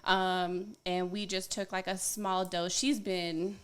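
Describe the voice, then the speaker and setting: A soft-voiced woman in a studio podcast interview, talking candidly and casually with lots of fillers. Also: on the low side, flat delivery, slow, clean tone. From Colorado, United States. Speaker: guest wellness coach. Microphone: podcast studio mic.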